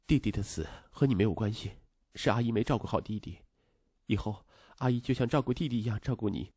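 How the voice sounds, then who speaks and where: A man voicing a caring, grieving stepmother in an audio story, gentle and consoling yet determined. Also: fairly low pitch, quick pace, husky, dry recording, studio quality.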